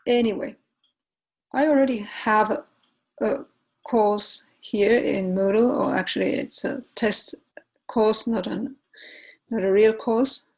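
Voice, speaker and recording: Finnish-accented woman, tutorial narrator, unhurried delivery, gravelly edge, hesitant. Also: medium pitch, fairly even intonation, darkish tone, rough recording. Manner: hesitant, many fillers and restarts. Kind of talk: screen-recorded software tutorial.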